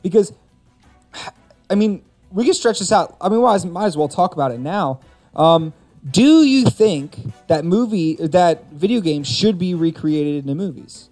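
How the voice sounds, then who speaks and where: A man's voice: high voice, expressive, moderate pace, a little mellow. A man hosting a live stream, chatting casually and giving opinions with emphatic, rhetorical build-up. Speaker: host of a gaming and movie live stream. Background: background music.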